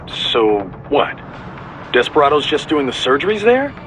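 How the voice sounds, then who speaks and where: Brisk game mission commander, higher voice, animated, level-headed, inquisitive, music behind. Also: male voice. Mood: questioning. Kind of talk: video game cutscene acting.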